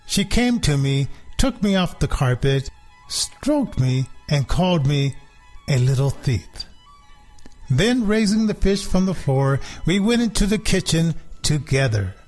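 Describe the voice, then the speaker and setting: A sly, self-satisfied big house cat , read by a man, tells its own storybook tale. The voice is a little high and the telling measured and deliberate, over soft music. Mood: contented. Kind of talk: storybook reading for children.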